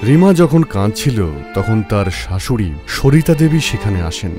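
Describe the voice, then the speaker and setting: A man's voice: fairly low pitch, lively intonation, moderate pace. A man narrating a scripted horror story in a dramatic storytelling style. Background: music.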